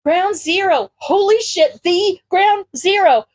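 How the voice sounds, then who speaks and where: A woman's voice: extremely high pitch, slow, pitched above the performer's own voice. A woman voicing an easily flustered, apologetic man in a story, earnest and given to exclaiming and cursing. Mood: flustered, exasperated.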